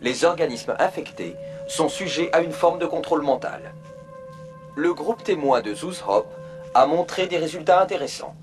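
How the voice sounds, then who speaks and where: An emotionless virtual assistant from a video game, with a fairly high male voice. It is polite and procedural, reading out information in formal phrasing. Faint music plays behind it. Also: animated, normal speed. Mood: impassive, procedural. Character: polite, impassive virtual assistant program at a corporate console.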